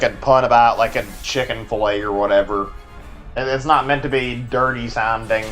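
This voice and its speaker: A man's voice: medium pitch, fairly bright tone. Rambling Texan guy streaming a game. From Texas. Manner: rambling, filler-heavy, answers chat as he plays. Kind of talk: casual live-stream chat while playing.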